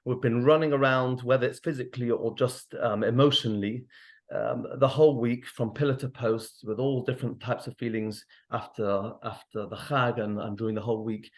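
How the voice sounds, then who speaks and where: A man with a Mancunian accent, hosting and speaking gently as a therapist, with a soft, halting delivery and many pauses. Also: medium pitch, fairly even intonation. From Manchester, England. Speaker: host and therapist. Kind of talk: gentle supportive talk to teens.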